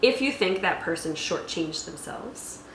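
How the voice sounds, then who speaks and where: A woman's voice: on the low side, animated, a little slow, a bit bright. A woman hosting a tabletop roleplaying tutorial, explaining rules methodically and conversationally, step by step. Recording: echoey room with faint background noise.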